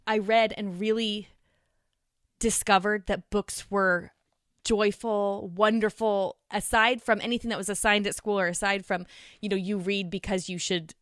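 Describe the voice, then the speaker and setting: A woman speaking conversationally as a podcast guest, explaining things in an animated way. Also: mid-range voice, dry recording, studio quality. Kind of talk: conversational interview.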